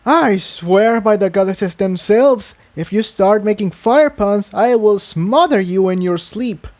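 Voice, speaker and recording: Male reader as female pegasus pony, sardonic, exasperated, higher-pitched, soft-edged tone, fantasy audiobook. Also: unhurried. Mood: exasperated threatening. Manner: incredulous, dry.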